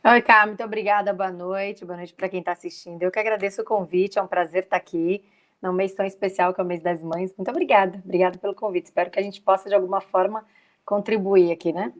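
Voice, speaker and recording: A middle-aged Brazilian woman from São Paulo talking casually about herself as an interview guest, a little haltingly. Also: mid-range voice, fairly even intonation. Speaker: interview guest, lawyer turned executive. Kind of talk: casual personal talk.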